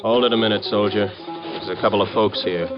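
An actor playing an easygoing, courteous, folksy army sergeant in a classic radio drama. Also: male voice, fairly low pitch, fairly even intonation, brisk, over loud music, lightly acted. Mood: gruff, polite. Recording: noisy, dull vintage radio recording.

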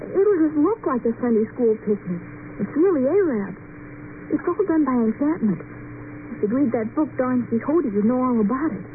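Thin noisy radio sound, high-pitched young boy, bossy, insistent, imaginative know-it-all. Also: animated, normal speed. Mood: eager, insistent. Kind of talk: old-time radio drama acting. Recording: tinny old radio recording, slight noise.

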